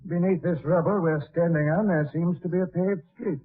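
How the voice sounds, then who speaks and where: On a tinny, band-limited old radio recording, a learned professor on an expedition. He speaks in a fairly high male voice, weighing each thought in a measured, scholarly manner, in the style of 1940s radio drama. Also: steady tone, breathy edge. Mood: pondering. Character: learned alien professor, careful, pondering scientist.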